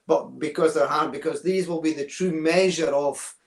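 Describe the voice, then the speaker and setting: A man's voice: a little high, moderate pace, roomy sound. A Scottish man in his sixties, a guest in an interview, explaining ideas thoughtfully, often repeating words and checking in with "right?". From Scotland. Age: 60s. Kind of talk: reflective interview talk.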